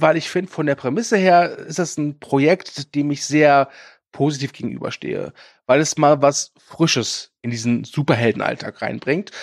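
A man's voice: a little high, no room sound, studio quality. A man co-hosting a casual film news podcast, talking with frequent hesitations and filler sounds. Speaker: podcast co-host.

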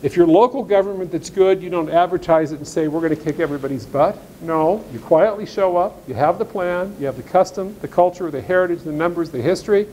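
A man's voice: sits high, quick pace, few pauses, warm tone, a little hiss or hum, low-quality recording. A man giving a blunt, sarcastic talk at a farm meeting, reading passages of law aloud and commenting on them. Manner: reads legal text aloud, then comments. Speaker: speaker at an agricultural seminar. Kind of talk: folksy presentation to ranchers.

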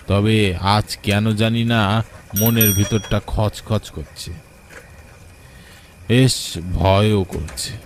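A man's voice: fairly low pitch, a little mellow, slight background noise, natural voice. A man playing a weary, frightened village rickshaw puller, telling a cartoon ghost story in an anxious, suspenseful, wondering way. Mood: fearful, tense. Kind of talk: dramatic first-person horror story narration for a cartoon.

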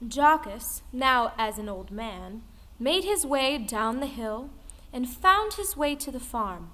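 A woman's voice: fairly high pitch, big pitch swings, slow, sharp tone. A woman reading a scripted folktale as a dramatic storyteller. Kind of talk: scripted folktale narration in an audioplay. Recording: music under the voice. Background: music.

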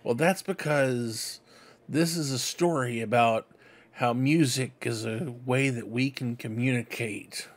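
A middle-aged man talks at an unhurried, slow pace with a medium-pitched voice. He hosts casually, rambling conversationally as he shows things off. He is recorded indoors at a desk microphone. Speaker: host of a fan book club vlog. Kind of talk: casual show-and-tell commentary. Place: cluttered room indoors at home. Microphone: desk microphone close in front.

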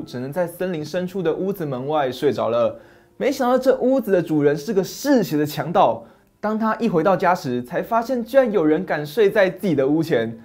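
A man's voice: high voice, darkish tone. Dramatic middle-aged male storyteller. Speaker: storyteller host. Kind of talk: scripted fairy-tale narration. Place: small dim study set.

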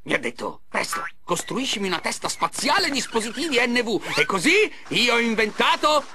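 A grandiose, resentful cartoon mad scientist. His high voice lurches wildly up and down in an over-the-top delivery. There is clear noise behind him. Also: big pitch swings, fairly quick, exaggerated voice. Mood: grandiose, ranting. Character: boastful, resentful mad scientist sidekick, adult man. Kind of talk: Italian cartoon dub for a video game.

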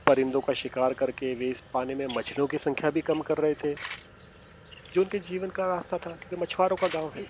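A man giving measured, scripted documentary narration as a travel vlogger. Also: a little high, little pitch movement, noisy background. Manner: measured, storytelling delivery.

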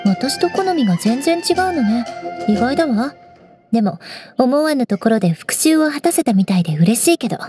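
Woman doing a prickly young noble knight girl, high voice, haughty and composed, anime game with music behind. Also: lively intonation, normal speed, warm tone, natural voice. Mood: teasing, proud. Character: proud, prickly young noblewoman knight, vengeful but teasing. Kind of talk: Japanese anime game voice acting.

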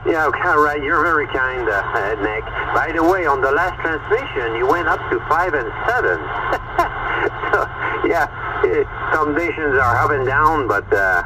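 A man's voice: fairly high pitch, animated, moderate pace. A man with a Quebec French accent chatting courteously as a radio operator, heard over a CB radio transmission. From Quebec, Canada. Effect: single-sideband CB radio transmission. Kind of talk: casual radio contact chat. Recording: noisy distant CB radio signal.

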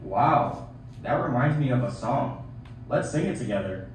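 An eager, needy puppet voiced by a man pipes up insistently in a children's show, in a mid-pitched voice. It is heard from far off in a very echoey hall. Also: expressive, a little slow, slight background noise, natural voice. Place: theatre, behind puppet stage curtain.

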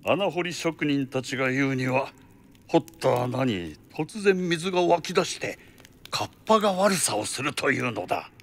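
Creaky-voiced imperious count. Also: male voice, mid-range voice, animated, moderate pace, a bit bright. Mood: grave, troubled. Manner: commanding, old-fashioned lordly speech. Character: imperious male count, senior government overseer. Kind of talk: Japanese radio drama acting.

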